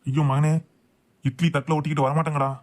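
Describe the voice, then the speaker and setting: A man's voice: on the high side, little pitch movement, fast, close to an everyday voice. A man voicing a boastful, grumbling husband and father in a comic cartoon. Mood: flustered. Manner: blustering, complaining.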